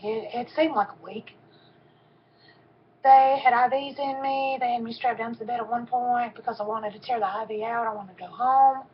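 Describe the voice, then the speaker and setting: A soft-voiced woman in her fifties, her tone sitting a little high for a woman. She gives a personal testimony slowly and haltingly, pausing often with ums. The recording is dull and lo-fi, with a faint hum behind her. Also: fairly even intonation. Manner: halting, with frequent pauses and ums.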